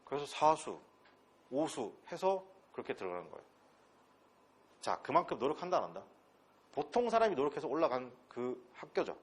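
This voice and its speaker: A man with a Gyeongsang dialect lectures an English class in a husky, slightly breathy voice. His pitch swings up and down freely as he fires rhetorical questions at the students. He keeps it blunt and casual, with some crude slang. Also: very animated, moderate pace, pauses often. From Pohang, South Korea. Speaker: English instructor at a transfer-exam academy.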